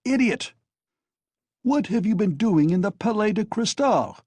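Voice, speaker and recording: A furious young man bellows and scolds his friend, as a male narrator puts him on. The voice sits high and husky, with big lively swings. The sound is dry and close. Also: measured pace, dry recording, exaggerated voice. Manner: shouting, scolding.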